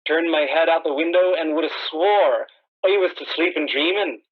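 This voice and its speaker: A man playing a slow, folksy, hesitant farmer giving an eyewitness account in a 1930s-style radio play. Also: on the high side, close to an everyday voice. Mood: rambling. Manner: hesitant, rambling, rustic dialect turns of phrase. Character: slow, rambling, folksy male farmer, mildly rattled eyewitness. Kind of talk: 1930s radio drama acting. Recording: tinny telephone-like radio band.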